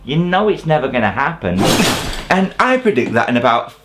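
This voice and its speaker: A man's voice: medium pitch, big pitch swings, brisk, noisy background. A British man hosting a video review, delivering scripted comedic countdown narration in a sarcastic, sweary, exaggerated way. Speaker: host of a video review channel.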